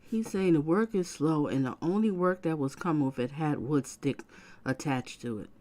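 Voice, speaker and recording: A man giving casual reaction commentary on a clip, lively and sympathetic. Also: a little high, moderate pace.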